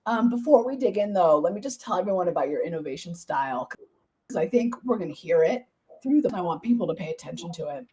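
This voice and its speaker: A woman hosting a podcast interview, her voice hoarse and partly gone, talking in a bubbly, enthusiastic, chatty way. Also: fairly low pitch, lively intonation, fast talker, mellow. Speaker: podcast host.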